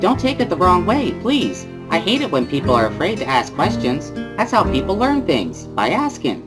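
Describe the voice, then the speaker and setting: A woman's voice: on the low side, normal speed, music in the background. A woman voicing a playful, bubbly schoolgirl in an amateur visual novel reading, girlish and exclamatory. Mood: concerned, chiding. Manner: girlish, exclamatory, easily excited. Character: bubbly, playful schoolgirl interpreter who laughs loudly.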